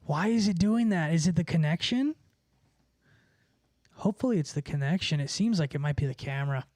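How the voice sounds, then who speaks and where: A man's voice: fairly high pitch, expressive, a little fast, a little mellow, no echo, clean studio sound. A man livestreaming, chatting casually with viewers in a rambling, profane, slangy way. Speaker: livestreamer and musician.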